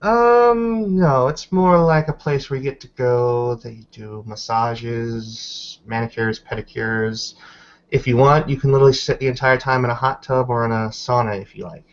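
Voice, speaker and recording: A man's voice: medium pitch, moderate pace, slight background noise, lightly acted. Man playing a polite, hedging woman, muffled sound. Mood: polite, explanatory. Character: polite, considerate woman hosting two newlyweds. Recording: dull muffled lo-fi audio.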